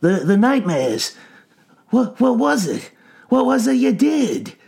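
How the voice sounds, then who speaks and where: Man voicing a nervous hobo, pitched up high. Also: sing-song, a little slow, slightly rough, exaggerated voice. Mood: urgent curiosity. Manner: stammering, folksy speech. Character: nervous, suspicious, curious male hobo.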